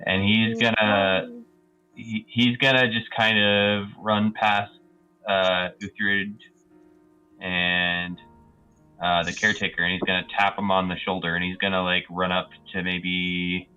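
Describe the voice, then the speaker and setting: Low, bright-voiced hesitant male player. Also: level tone, takes it slowly, smooth, lo-fi sound. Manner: hesitant, piecing sentences together. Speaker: tabletop game player. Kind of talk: casual improvised description of character actions. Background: faint music.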